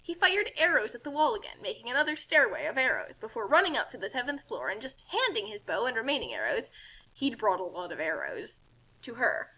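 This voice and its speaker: A woman reading a story aloud as narrator, in an animated, informal style with casual asides. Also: fairly high pitch, fairly quick, husky, rough recording. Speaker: narrator reading a fan fiction.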